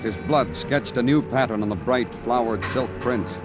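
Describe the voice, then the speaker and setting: A man's voice: medium pitch, normal speed, natural voice. An actor playing a world-weary, poetic big-city police detective who narrates his case in florid, brooding radio noir style. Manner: brooding, florid, dramatic phrasing. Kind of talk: 1940s-50s radio noir acting and narration. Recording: vintage radio recording with music.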